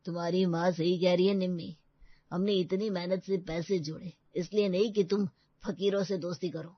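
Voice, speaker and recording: A woman's voice: on the low side, steady tone, moderate pace, no room sound, highs cut off, close to an everyday voice. A woman voicing a stern, snobbish, money-minded middle-aged father in a story drama. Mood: disapproving. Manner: stern, dismissive. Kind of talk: acted story dialogue.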